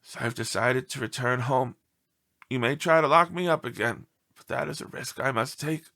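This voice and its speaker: A man voicing a self-pitying, melodramatic pet dog in a storybook, with theatrical, mournful delivery and breathy sighs. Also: mid-range voice, moderate pace, sharp tone. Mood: suffering, dramatic. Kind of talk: storybook read-aloud character.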